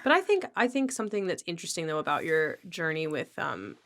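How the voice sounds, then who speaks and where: Low-pitched woman chatting on a podcast. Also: big pitch swings, brisk, fairly bright tone. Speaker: podcast host. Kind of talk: casual podcast conversation.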